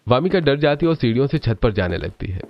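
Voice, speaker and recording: A man's voice: mid-range voice, sing-song, quick pace, slight background noise. A man narrating a scripted cartoon family story as the storyteller, on a slightly dull recording.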